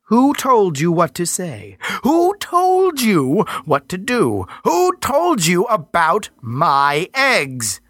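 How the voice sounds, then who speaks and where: An ancient female forest spirit, vast and wrathful, stretching each word out slowly with a menace that swings between sweetness and thunder, as a male audiobook narrator puts her on. The pitch rolls widely, and the voice sits dry and close with no room around it. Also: big pitch swings, sharp tone, no echo, heavily acted. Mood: commanding. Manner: slow, drawn-out, menacing. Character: commanding female earth spirit, slow and wrathful.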